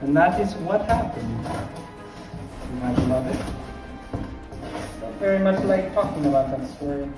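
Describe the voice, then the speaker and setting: A man's voice: fairly high pitch, animated, a little slow, pauses often, far from the mic. A man playing a rambling old vampire count who guides viewers through a craft project and keeps drifting into anecdotes. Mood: instructive. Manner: digressive, wanders into anecdotes. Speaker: craft tutorial host in character. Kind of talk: in-character crafting tutorial. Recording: very echoey room, mic from afar. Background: music playing.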